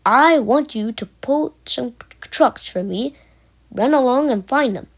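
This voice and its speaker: A woman's voice: high-pitched, animated, measured pace, heavily acted. A woman playing a stern but kindly male railway boss in a children's storybook. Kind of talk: children's storybook character reading.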